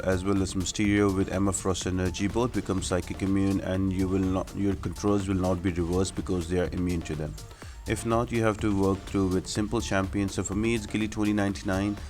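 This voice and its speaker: A man with a low-pitched voice talks through a mobile game strategy guide. He explains things casually and step by step, as a gaming channel host would. Music plays clearly behind his narration. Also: darkish tone. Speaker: video game guide YouTuber. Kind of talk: casual gameplay walkthrough narration. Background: music under the voice.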